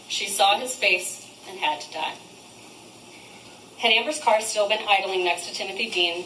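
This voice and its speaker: Echoing, noisy courtroom audio of a woman some way from the microphone. She delivers a prepared victim statement in a formal, accusatory manner at a middle pitch. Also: sharp tone, far from the mic. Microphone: distant microphone.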